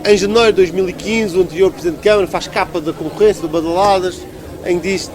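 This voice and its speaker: High-voiced Portuguese man, crowd behind. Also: fast talker. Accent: European Portuguese. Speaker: local politician being interviewed. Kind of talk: conversational political interview.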